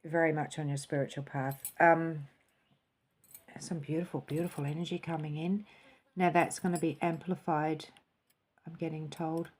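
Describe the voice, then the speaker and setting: A woman giving a spiritual tarot and cup reading in a soft, musing way, sometimes dropping into a quiet, calm voice. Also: low-pitched, moderate pace, slightly rough.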